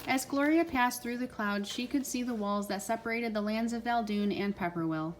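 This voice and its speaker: Mom reading a picture book out loud to her kid, voice on the high side. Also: moderate pace, a little hiss or hum, rough recording. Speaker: parent reading with a child. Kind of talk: children's storybook read-aloud.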